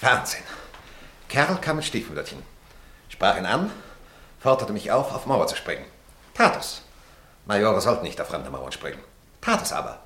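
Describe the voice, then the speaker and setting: A man's voice: on the low side, sing-song, moderate pace, long pauses, pushed and bright, echoey room. An actor playing an agitated army major in a German radio play, barking in clipped fragments as if reluctant to open his mouth.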